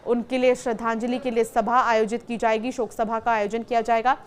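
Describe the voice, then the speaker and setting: A woman's voice: a little high, flat delivery, brisk, a little hiss or hum. A woman news presenter reporting in an emphatic, explanatory way, posing questions as she goes. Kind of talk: news reporting to camera, semi-scripted explainer.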